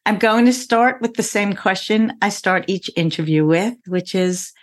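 A woman's voice: medium pitch, moderate pace. A woman hosting a money podcast, asking friendly, attentive questions. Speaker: podcast host. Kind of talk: podcast interview.

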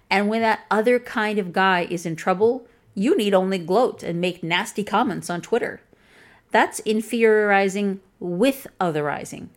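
A woman with a plain, flat Chicago accent delivers scripted commentary. Her tone is wry and pointed, and she lets the dry humor sit inside an even, mid-pitched voice. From Chicago, USA. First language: English. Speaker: video essayist.

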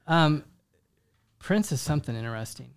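A man's voice: fairly high pitch, expressive, moderate pace, clean tone. A man hosting a casual podcast interview, rambling and making self-mocking jokes. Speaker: podcast host.

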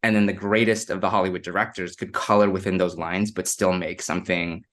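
A man's voice: sits low, little pitch movement, fairly quick, fairly bright tone. A man co-hosting a podcast, talking in a thoughtful, hedging way with many restarts. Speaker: podcast co-host. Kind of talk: casual chat.

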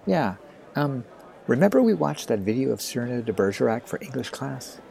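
A man's voice: mid-range voice, big pitch swings, a little fast, vocal fry, darkish tone, low-quality recording. A man playing a wry, awkward ninth-grade boy with a grown-up mind, hesitant and thoughtful, in an audio drama. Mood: helpful, wry.

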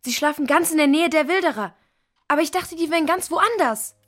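A woman's voice: high-pitched, brisk, faint music behind, close to an everyday voice. A woman playing a direct, persuasive girl from an adventure crew in a children's audio drama, frank and pressing. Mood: puzzled.

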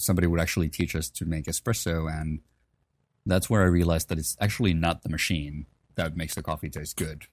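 A man in his twenties from Finland, telling his story in a relaxed, chatty way as a podcast guest. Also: low-pitched, no room sound. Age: 20s. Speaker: podcast guest, coffee professional. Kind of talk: casual interview storytelling.

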